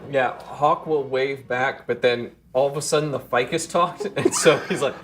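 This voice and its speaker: A man's voice: medium pitch, moderate pace, over music. A man playing a tabletop game, casually improvising what his character does and feels.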